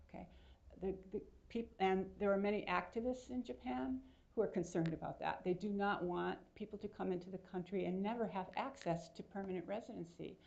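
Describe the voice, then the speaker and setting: A woman's voice: fairly low pitch, vocal fry, darkish tone. A woman researcher answering audience questions at a seminar, explaining at length and checking in with her listeners. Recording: dull lo-fi sound.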